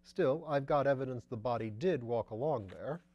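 A steady, practical detective, voiced by a man in a storybook reading, speaking in a plain and matter-of-fact way. His voice sits a little lower and quieter than the lively characters around him. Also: moderate pace, muffled recording, close to an everyday voice.

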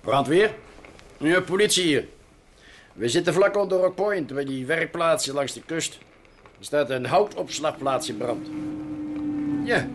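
A blunt police officer, officious yet helpful, played by a man in a vintage radio drama. His voice sits slightly high. A faint hiss runs underneath. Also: lightly acted. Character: male police officer, gruff but helpful. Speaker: voice actor. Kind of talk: vintage Dutch radio play acting.

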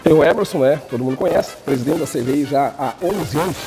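Man, chatty TV host, slightly high voice, music bed underneath. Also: quick pace, mellow. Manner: chatty, many restarts and fillers. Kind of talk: casual TV cooking show hosting. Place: showroom kitchen indoors. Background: background music.

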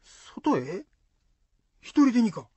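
A woman playing an excitable, easily spooked rustic husband in a folk-tale radio drama, blunt and husky, with Shizuoka dialect. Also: on the high side, slow pace, dry recording, exaggerated voice. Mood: puzzled. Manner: blunt, rough rustic speech.

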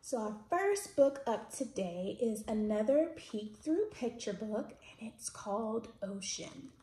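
A woman in her thirties hosting children's storytime, reading picture books aloud in an expressive, sing-song way. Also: on the high side, unhurried. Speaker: children's storytime host at a library. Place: indoors, small plain-walled room. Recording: roomy echo from camera mic.

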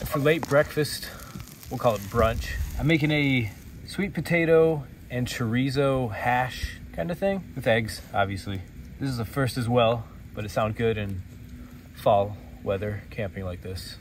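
A man's voice: medium pitch, unhurried. An American man in his thirties chats casually to camera in the manner of an outdoor vlogger. He sounds a little way off from the microphone. Faint ambient noise from the open air sits behind his voice. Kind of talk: casual vlog talk to camera. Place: outdoors at a forest campsite, sometimes inside a tent. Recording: distant mic, noticeable outdoor ambient noise.

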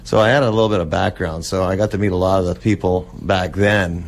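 Low-voiced man reminiscing casually. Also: steady tone, quick pace, creaky, a little hiss or hum. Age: 40s. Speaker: interview guest, old-time radio collector.

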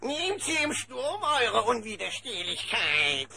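A man's voice: very high voice, big pitch swings, slow, gravelly, a crowd in the background, exaggerated voice. A male actor playing a sly, sarcastic little servant creature who fawns in flowery broken speech, in a German cartoon audio drama. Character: small, sly, sarcastic, fawning toad-like servant.

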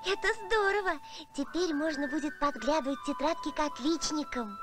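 A woman voicing a brave, curious, excitable little girl space traveller in an animated film, with an eager childlike manner. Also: high-pitched, moderate pace, music in the background. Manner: eager, bright-eyed, childlike. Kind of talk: Soviet animated film acting.

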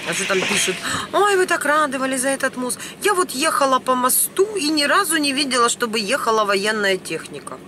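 A middle-aged woman vlogger. She rants emphatically and indignantly, with mild swearing thrown in. Clear vehicle noise runs behind her as she talks into a camera inside a van. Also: sits high, big pitch swings, a little fast, sharp tone. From Ukraine. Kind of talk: unscripted emotional vlog rant. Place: inside a parked or moving van. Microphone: camera at about arm's length. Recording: noisy vehicle cabin.

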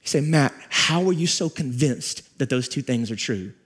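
A man in his forties preaching a sermon on a large church stage, with repeated phrases, pauses and rising emphasis. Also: medium pitch, expressive, husky. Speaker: pastor.

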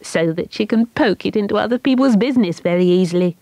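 A woman voicing a teasing schoolgirl who mocks quickly. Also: medium pitch, warm tone, dry recording, pitched above the performer's own voice, natural voice. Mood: mocking. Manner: mocking, quick.

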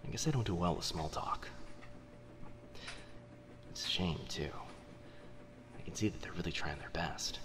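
Man acting as low-voiced teasing young telepath boyfriend. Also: expressive, moderate pace, pauses often. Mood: musing, fond. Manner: hesitant, chatty, soft asides. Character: teasing, kind-hearted young male telepath at a laundromat. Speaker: voice actor in audio roleplay. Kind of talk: boyfriend ASMR roleplay.

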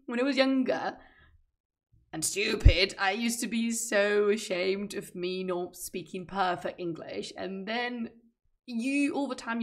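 Spanish-accented woman streamer chatting. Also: medium pitch. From Spain. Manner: animated, gestures while talking, chats with viewers. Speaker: streamer, manuscript researcher. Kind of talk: casual livestream chat. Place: home room, indoors.

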